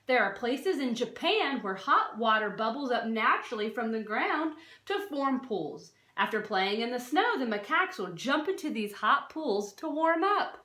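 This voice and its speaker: A woman's voice: on the high side, sing-song, moderate pace, hardly any pauses, sharp tone. A woman reading a non-fiction children's book aloud like a teacher, expressively, stressing key words and adding short explanatory asides. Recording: roomy, echoey sound.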